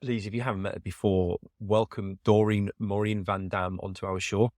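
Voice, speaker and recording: A man's voice: on the low side, flat delivery, moderate pace, a little mellow, no echo, clean studio sound. A man hosting a podcast interview in a friendly, rambling way. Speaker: podcast host.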